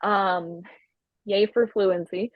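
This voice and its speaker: A woman's voice: medium pitch, steady tone, slow, no room sound, lo-fi sound. A woman comedian guesting on a podcast, talking casually with a stutter, repeating sounds and restarting words, and swearing freely. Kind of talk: casual chat.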